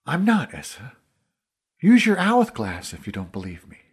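A man's voice: medium pitch, wildly swooping pitch, moderate pace, slightly rough, voice pushed up, heavily acted. A man voicing an earnest, weary young fantasy hero with an urgent manner in an audiobook. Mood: blunt, earnest.

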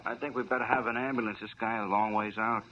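Plain cop, matter-of-fact, lowish voice, lo-fi old radio, hiss. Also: male voice, fairly even intonation, fast talker, pushed and bright. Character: plain male police detective, matter-of-fact. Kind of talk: 1940s radio acting.

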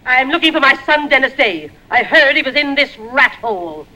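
An actress playing a loud, bossy, scolding battle-axe mother in an old 1940s radio comedy. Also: high voice, expressive, normal speed, exaggerated voice. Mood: imperious searching. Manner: bossy, scolding, calling out imperiously. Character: loud, domineering battle-axe mother hunting her son. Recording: noisy old radio recording.

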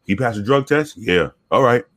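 A man from the Bronx with a very deep voice, telling profane, animated stories with many restarts in a casual interview. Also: normal speed. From the Bronx, New York. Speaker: guest, former police officer.

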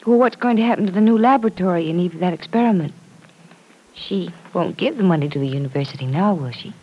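An actress playing a gentle, guarded but kind young woman in an old-time radio drama, speaking politely and softly. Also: lowish voice, quick pace, husky, muffled recording. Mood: guarded, doubtful. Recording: noisy vintage radio show recording.

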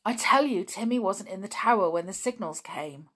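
A woman's voice: medium pitch, animated, normal speed, fairly bright tone, husky, voice pushed up. A woman voicing a worried, stubborn young tomboy girl in a storybook, speaking in an emphatic, pleading way. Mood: anxious, breathless.

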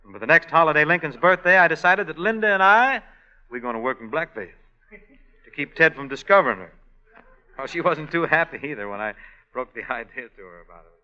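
A man's voice: fairly high pitch, lively intonation, sharp tone, clear, resonant tone. Jim, a breezy wisecracking showman. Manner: breezy, joking, quick patter. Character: wisecracking male song-and-dance man Jim. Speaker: actor in radio play, also narrator. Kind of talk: 1940s radio acting. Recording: dull old radio broadcast.

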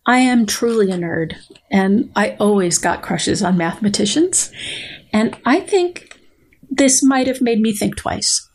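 A woman speaking as a podcast guest, measured and thoughtful as she reads and comments. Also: medium pitch, lively intonation. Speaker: guest biologist. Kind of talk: podcast conversation with dramatic readings.

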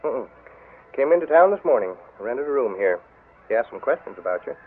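A man's voice: mid-range voice, sing-song, fairly quick. An actor playing a nosy, eager-to-please male hotel desk clerk in an old radio drama, hesitant and full of little fillers. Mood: fawning. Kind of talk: 1940s radio acting. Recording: tinny old radio broadcast.

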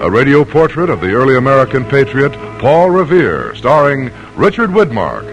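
Animated old-time radio announcer, male. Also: medium pitch, normal speed, soft background music, rough recording. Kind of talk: 1940s-style radio programme announcing.

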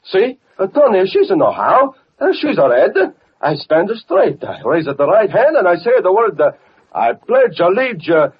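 An actor playing an eager, naive immigrant with an Italian accent, speaking halting broken English in an old radio drama. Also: male voice, sits high, very animated, husky, heavily acted. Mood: proud, grateful. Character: eager, naive immigrant man fresh from Ellis Island. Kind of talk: 1930s-40s radio drama acting. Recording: dull, muffled old radio recording.

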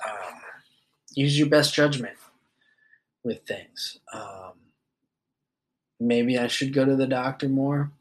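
A man's voice: medium pitch, a bit bright, rough recording. Rambling, foul-mouthed young man. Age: 20s. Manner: loose and rambling, swears often, many fillers. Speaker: podcast host. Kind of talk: casual monologue.

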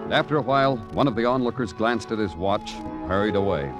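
A man's voice: on the low side, lively intonation, fast, natural voice, rough recording. An actor playing a world-weary, poetic big-city police detective who narrates his case in florid, brooding radio noir style. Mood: somber. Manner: brooding, florid, dramatic phrasing. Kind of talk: 1940s-50s radio noir acting and narration. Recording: vintage radio recording with music.

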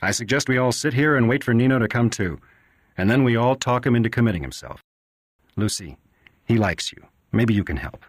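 Cynical doctor, flippant, matter-of-fact, somewhat higher voice, as a male narrator puts him on, dry sound. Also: expressive, brisk, no room sound.

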